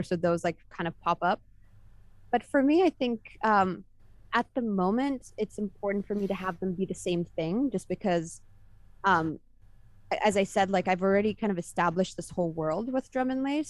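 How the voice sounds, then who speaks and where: A woman's voice: medium pitch, no room sound. A woman from Italy with no Italian accent, a film composer answering interview questions in a chatty way, full of fillers and self-corrections.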